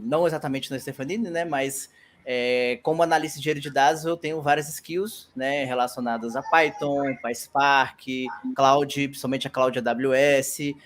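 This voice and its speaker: A Brazilian man working as a data analyst, introducing himself in a lively, chatty way on an online class. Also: on the high side. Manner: lively, chatty, laughs easily.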